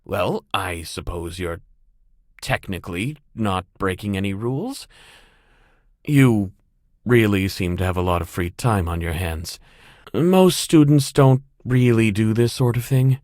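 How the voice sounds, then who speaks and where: A man's voice: fairly low pitch, lively intonation, measured pace, darkish tone, dry recording, clean studio sound. A man playing an older school headmaster in a game, formal, hesitant and awkwardly polite. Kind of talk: game character lines.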